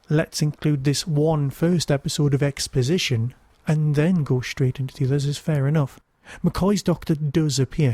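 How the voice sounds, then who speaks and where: A man's voice: a little high, dark tone, no room sound. A man hosting a podcast, giving casual, digressive review commentary with wry asides.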